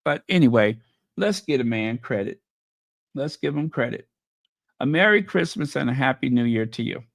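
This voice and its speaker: A man's voice: mid-range voice, lively intonation, moderate pace, creaky, dry recording, studio quality. A man hosting a casual livestream chat, losing his voice and making sarcastic, mocking asides. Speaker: livestream host.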